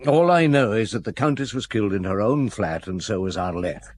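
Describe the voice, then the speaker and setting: An actor playing a calm, terse police chief inspector in a radio play, giving measured orders with hums and uhs. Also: male voice, lowish voice, natural voice. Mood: brisk. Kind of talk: radio drama acting.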